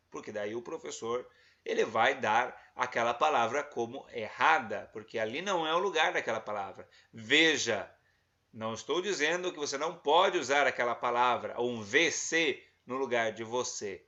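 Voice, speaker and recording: Male teacher, slightly high, bright and forceful, explains and quizzes students, muffled lo-fi recording. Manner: explanatory, asks the class rhetorical questions. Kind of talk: recorded online class lecture.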